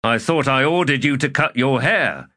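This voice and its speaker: A man's voice: mid-range voice, normal speed, sharp tone, voice pushed up, close to an everyday voice. A male narrator playing a stern, affronted army captain who speaks in a stiff, reproving way.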